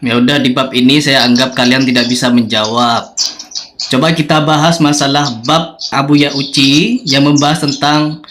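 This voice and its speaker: A man's voice: mid-range voice, fairly even intonation, moderate pace, fairly bright tone, a little hiss or hum. A man in a heated religious debate, confrontational and insistently questioning as he challenges his opponents.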